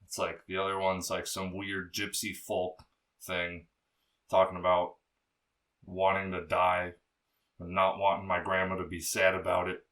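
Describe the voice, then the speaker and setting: A young man with a low, bassy voice, chatting as a podcast guest in a loose, rambling way, full of fillers and swearing. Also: monotone, a little slow, a bit bright, recorded from a distance. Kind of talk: casual interview chat.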